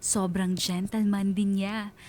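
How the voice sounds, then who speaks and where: A woman's voice: mid-range voice, level tone, takes it slowly, mellow, a little hiss or hum, natural voice. An actress playing a wistful woman in her late twenties with a Filipino accent, narrating her love story in a radio drama. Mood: fond, reflective. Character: wistful twenty-nine-year-old female bank manager recounting lost love.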